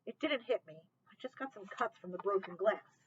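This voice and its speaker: A woman reading aloud, voicing a quiet, serious young boy who speaks plainly and matter-of-factly. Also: mid-range voice, animated, moderate pace, husky, muffled recording.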